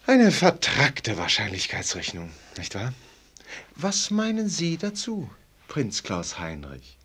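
An actor playing a worldly, playful male confidant in a German radio play, musing theatrically with rhetorical pauses. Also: mid-range voice, huge pitch swings, a bit bright, husky, exaggerated voice.

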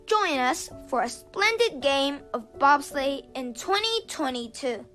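A high-pitched woman delivers scripted broadcast narration at an unhurried, deliberate pace. Her reading is measured and formal. Soft music plays beneath her voice. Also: sing-song. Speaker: program narrator.

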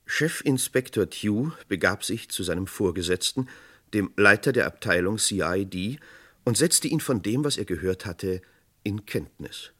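Guy narrator for an detective radio play, lowish voice, scripted storytelling. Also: expressive, unhurried, fairly bright tone, breathy edge.

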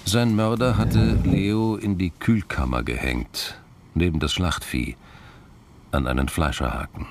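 A man narrating a scripted crime drama in a gravelly voice, measured and understated. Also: sits low.

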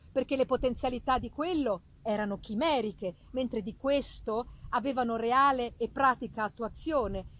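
A woman giving a dramatic, theatrical reading of literary narration, with deliberate phrasing and pauses. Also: high-pitched. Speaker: reader of a literary audiobook. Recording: live reading with noticeable background noise.

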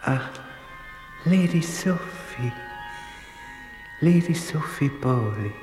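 An older man playing a timid, humble old porter in a vintage German radio play, halting and deferential. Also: on the high side, lively intonation, a little mellow. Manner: halting, deferential, breaking into whispers. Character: humble, timid old messenger, poor widower. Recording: echoey vintage radio play, long reverb. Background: music.

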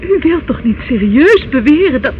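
A woman's voice: high-pitched, sing-song, moderate pace. An actress in a Dutch radio play, playing a distressed, doubting young niece, pleading and faltering as she speaks. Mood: shocked, pleading. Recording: thin phone-like old radio recording, faint noise.

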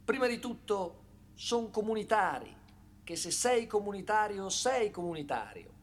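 A man's voice: high-pitched, very animated, unhurried, a little hiss or hum. A man performs a theatrical satirical monologue in a dramatic, colloquial, sardonic storytelling manner, leaning on rhetorical repetition. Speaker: stage monologist. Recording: loud live guitar music accompaniment. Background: plucked guitar music.

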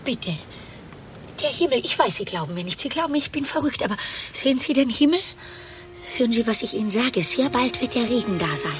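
A woman's voice: fairly high pitch, expressive, fairly quick, slight background noise, natural voice. An actress playing an anxious, visionary woman in a radio play, pleading urgently and insistently. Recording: faint music behind the voice.

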